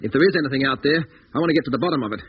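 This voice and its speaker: A man's voice: medium pitch, very fast, close to an everyday voice. Man as blunt spaceship crewman, muffled old radio. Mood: steady, resolute. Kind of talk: 1950s radio drama acting. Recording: dull, hissy 1950s radio recording.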